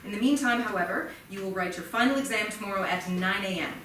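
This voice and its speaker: A woman's voice: medium pitch, pushed and bright, lightly acted. A woman acting a stern principal, echoey and distant. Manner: measured, admonishing, officious. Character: stern female high school principal, formal and unyielding. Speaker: actor in staged reading. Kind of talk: live staged play reading. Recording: echoey theatre, distant mic, faint noise.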